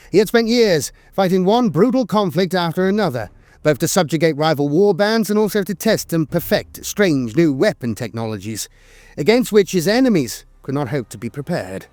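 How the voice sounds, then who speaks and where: A man's voice: on the high side, big pitch swings, moderate pace, a little mellow, no echo. A man narrating scripted lore in a dramatic, wry storytelling manner.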